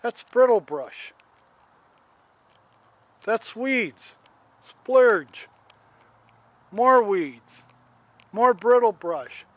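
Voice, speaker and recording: An older man in his seventies talks outdoors into a handheld phone, airing an aggrieved complaint about his yard. His voice sits high for a man and he takes his time. He rambles and restarts in a plain, homespun way. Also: lively intonation, drawn-out words, long pauses. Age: 70s. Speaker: homeowner. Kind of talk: casual complaint vlog, filming as he walks.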